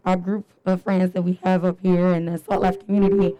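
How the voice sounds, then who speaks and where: A young woman with a hoarse, raspy voice, hosting a live panel at a fitness event in an upbeat, chatty way with lots of fillers. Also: on the low side, flat delivery, fairly quick, highs cut off, crowd noise. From Chicago area. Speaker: panel moderator and fitness trainer.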